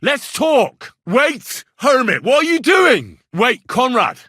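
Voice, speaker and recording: An actor playing an earnest, plucky young medieval adventurer in a video game, polite and plain-spoken, with a slightly husky voice. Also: male voice, extremely high pitch, big pitch swings, slow pace, pushed and bright, no room sound, studio quality, heavily acted. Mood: urgent, shouting. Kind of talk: video game character dialogue.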